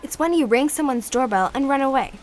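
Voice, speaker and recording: A woman's voice: sits high, lively intonation, brisk, darkish tone, a little hiss or hum. A young female playing a sporty, sarcastic schoolgirl in an amateur radio drama. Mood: curious. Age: child or teenager. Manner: sassy, sarcastic.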